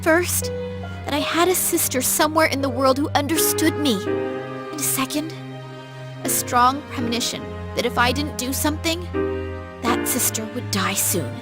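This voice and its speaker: An actress playing a desperate, devoted younger sister in an English anime-style video game, with an emotional, pleading manner. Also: female voice, sits high, normal speed, over loud music, close to an everyday voice. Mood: earnest, confessing.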